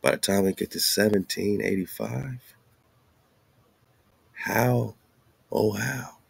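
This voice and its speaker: Deep, gravelly-voiced man in informal commentary. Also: takes it slowly. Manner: rhetorical questioning, repeats phrases for emphasis; colloquial, slangy phrasing. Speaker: host. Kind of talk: informal commentary monologue over on-screen maps and documents.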